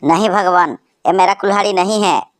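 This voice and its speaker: A woman's voice: on the low side, moderate pace. A woman voicing the bird characters in a Hindi cartoon story, in a plain everyday voice. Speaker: voice actor for cartoon birds.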